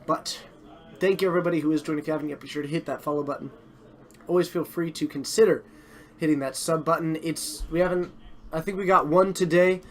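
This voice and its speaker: A man hosting and commentating a live card game stream, chatty and self-deprecating, while slowly losing his voice. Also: on the high side, fairly even intonation.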